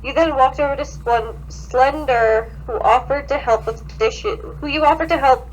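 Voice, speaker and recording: A woman's voice: sits high, normal speed, a little hiss or hum, poor audio. A woman hosting a podcast, giving a theatrical, mocking comedic reading of fan fiction. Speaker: podcast host.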